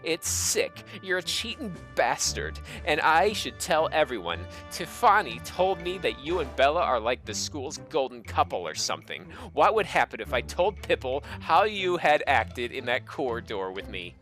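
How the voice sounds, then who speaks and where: A man's voice: mid-range voice, very animated, moderate pace, few pauses, fairly bright tone, pitched above the performer's own voice. Man playing a melodramatic, self-pitying teenage girl. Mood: indignant. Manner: melodramatic, indignant. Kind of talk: comic dramatic reading of fan fiction. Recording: music behind the voice.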